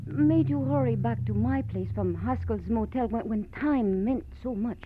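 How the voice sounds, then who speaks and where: A woman's voice: a little high, moderate pace, natural voice. A woman playing a wistful, hesitant detective's client in 1940s radio drama style. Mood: curious, hesitant. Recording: noisy, muffled vintage radio broadcast.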